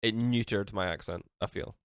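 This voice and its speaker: A man's voice: fairly low pitch, normal speed, smooth, no echo. A young man with a mild Scottish accent, chatting as a podcast co-host, blunt and dry, nasal from a cold. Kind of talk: casual chat.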